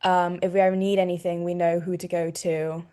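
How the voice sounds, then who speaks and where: A woman's voice: on the low side, level tone, fairly quick, warm tone, clear, resonant tone. A young woman student from Hong Kong, giving an earnest personal faith testimony with fillers like "you know.". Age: young adult.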